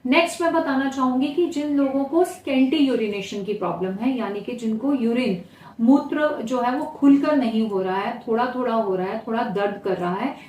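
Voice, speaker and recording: A woman with an Indian accent presents an explanatory home-remedy lesson as a health presenter, instructional and pausing to gloss terms. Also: fairly high pitch, darkish tone. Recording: roomy echo, distant mic, faint noise.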